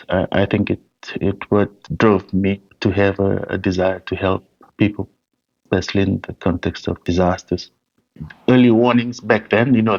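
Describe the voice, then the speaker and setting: A man's voice: lowish voice, darkish tone. A man from Fiji, a humanitarian guest, talking in a remote interview over a video call, hesitant and often restarting. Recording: dull lo-fi call audio.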